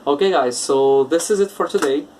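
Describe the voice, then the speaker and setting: A man with a Brazilian Portuguese accent, an English teacher hosting his own casual podcast, talking slowly and searching for words with many filler uhs. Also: on the high side, darkish tone, distant mic, slight background noise. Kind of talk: casual solo podcast talk.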